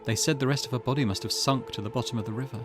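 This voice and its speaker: A man's voice: medium pitch, fairly even intonation, rapid-fire. A man narrating a game video, reading visual novel dialogue aloud as music plays. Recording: loud music behind voice.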